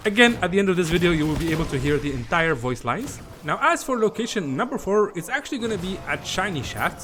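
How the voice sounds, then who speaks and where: Animated man narrating gameplay, fairly high voice. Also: background noise. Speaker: gaming video host. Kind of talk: casual gameplay guide commentary.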